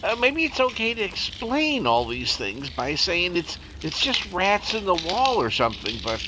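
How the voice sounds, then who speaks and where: A woman's voice: fairly low pitch, very animated, moderate pace, noisy background. A woman playing a detective's jittery, slangy sidekick in a 1930s-style radio mystery, with a hesitant, stammering way of talking. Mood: uneasy.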